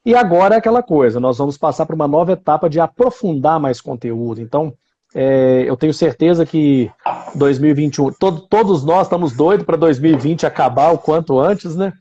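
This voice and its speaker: A man's voice: fairly high pitch, a little fast, dark tone, dull audio, a little hiss or hum. A man with a Brazilian Portuguese accent hosting an online interview, chatty and enthusiastic, with plenty of filler words. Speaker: interview host.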